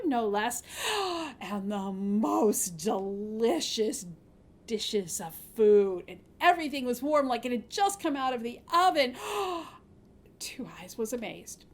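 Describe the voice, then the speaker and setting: Animated high-voiced woman storyteller. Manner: expressive, dramatic gasps and informal asides. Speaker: children's storyteller at a library storytime. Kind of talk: animated fairy tale storytelling for children.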